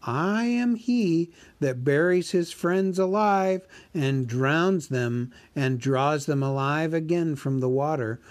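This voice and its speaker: A man voicing a small, clever male hobbit who speaks politely and flatteringly in riddles. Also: on the high side, slow pace, hardly any pauses, dry recording, pitched above the performer's own voice. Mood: riddling.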